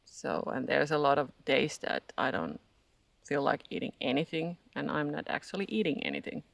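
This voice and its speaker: A Finnish-accented speaker, native in Finnish, gives a hesitant, reflective spiritual vlog, often searching for words and laughing softly. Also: medium pitch, sing-song, normal speed, gravelly, no echo. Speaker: spiritual vlogger. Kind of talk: casual reflective monologue.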